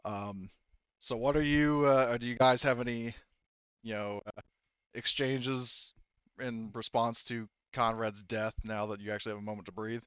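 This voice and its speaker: A man's voice: medium pitch, fairly even intonation, normal speed, a bit bright, smooth, no room sound, lo-fi sound. A man casually improvising narration as game master of a tabletop roleplaying game, in informal, sweary language. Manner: informal, profane.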